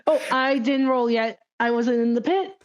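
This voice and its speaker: A woman's voice: on the high side, moderate pace. A woman playing a tabletop game, chatting casually, exclaiming and muttering to herself over a lo-fi microphone.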